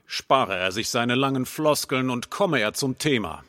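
A man plays a pompous, gleefully cruel king in a comic audio drama. He speaks imperiously in an unhurried, sharp-edged voice with lively swings, recorded close and dry. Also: medium pitch, no room sound. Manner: imperious, archaic royal speech. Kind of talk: amateur comedy audio drama.

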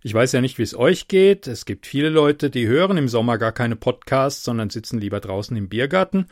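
A man from the Mannheim area with a softened Palatine German accent, hosting a casual podcast and reading a literary anecdote aloud. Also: medium pitch, expressive, no room sound, studio quality. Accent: Palatine German, softened by speech training. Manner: chatty, unhurried, reads with dramatic emphasis. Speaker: podcast host and reader.

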